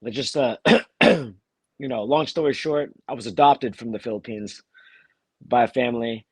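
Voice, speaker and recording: Man, fighter, slight cold, halting speech, dry close sound. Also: mid-range voice, husky, no echo. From Texas, USA. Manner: halting, many restarts and fillers. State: has a cold. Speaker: bare-knuckle fighter, guest. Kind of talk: casual interview chat.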